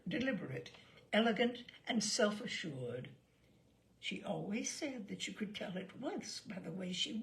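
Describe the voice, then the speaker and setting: A woman narrating a literary short story in an expressive, theatrical and measured way. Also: medium pitch, creaky. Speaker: audiobook reader.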